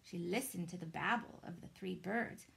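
A woman, reading a children's story aloud in a dramatic, deliberate way. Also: lowish voice, gravelly, background noise. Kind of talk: expressive children's storybook narration. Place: small indoor room with shelves.

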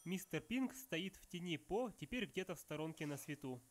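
A man with a fairly high voice delivers scripted narration. He picks at details in a matter-of-fact, sarcastic way. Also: lively intonation, normal speed, slight background noise. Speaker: narrator of a film flaw-counting video. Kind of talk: scripted narration, nitpicking commentary.

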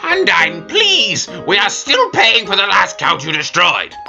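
A woman voicing an excitable, pompous male skeleton with theatrical exclamations in a fan comic dub. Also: medium pitch, unhurried, gravelly, faint music behind, exaggerated voice. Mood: fretful.

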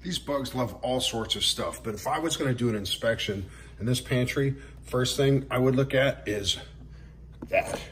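A man's voice: mid-range voice, normal speed, fairly bright tone, roomy sound, slight background noise. A man in his forties, a pest control business owner, giving plainspoken, friendly how-to tips to camera in a home kitchen. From Florida. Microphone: camera or phone at arm's length.

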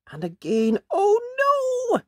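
A woman's voice: very high voice, huge pitch swings, drawn-out words, dry recording, clean studio sound. A woman hosting a children's read-aloud, questioning young listeners and reacting expressively to the pictures. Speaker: children's story reader, host.

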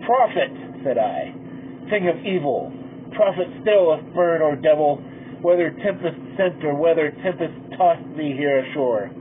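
A man reads a poem aloud in a drawn-out, theatrical way and rises to loud, pleading bursts. The sound is thin and boxy, like a phone line. A steady hum runs under his voice. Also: fairly high pitch. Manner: slow, theatrical delivery that swells loud in the narrator's pleas. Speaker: poetry reader. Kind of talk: dramatic poem reading. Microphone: poor-quality mic.

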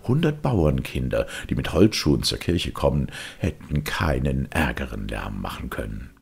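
A man's voice: a bass voice, big pitch swings, normal speed, vocal fry, darkish tone, breathy edge. A man narrating a children's audiobook expressively, dramatic and speaking straight to the listener. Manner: dramatic, addresses listeners directly.

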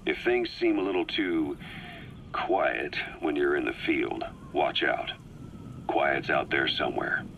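An actor playing a shrewd, seasoned male military intelligence officer in a game, briefing in a measured, deliberate way with dramatic pauses. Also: rumbling low, creaky, a bit bright, lo-fi sound, slight background noise, exaggerated voice. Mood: grave, analytical. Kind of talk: scripted game audio briefing.

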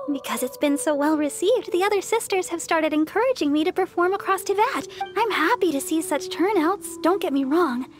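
Very high-pitched, bashful young deaconess. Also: female voice. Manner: polite, hesitant, gentle. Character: sweet, earnest, bashful young woman, church deaconess idol. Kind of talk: video game character dialogue.

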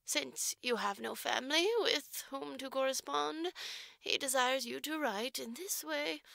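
A stern orphanage matron in an audiobook story, acted out by a woman, pompous and officious. Her voice is very high and bright, with emphatic, formal oratory in a dry recording. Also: a little slow, creaky, no echo, full character voice.

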